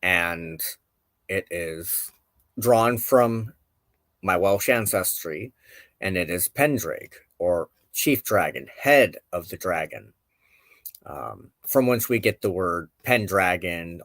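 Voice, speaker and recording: A man with a low voice talks in a slow, halting way, as if working out each thought while he speaks. He hosts an informal, reflective show, and the sound is close and dry, with very little room in it. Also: fairly bright tone, no echo. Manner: halting, searching for words, contemplative. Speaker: host of a spiritual show. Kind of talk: informal reflective talk to camera.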